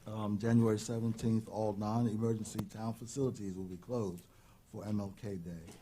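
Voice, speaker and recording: Low dark-voiced town manager, man. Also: fairly even intonation, moderate pace, slight background noise. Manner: hesitant, many ums. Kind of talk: meeting report. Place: council chamber.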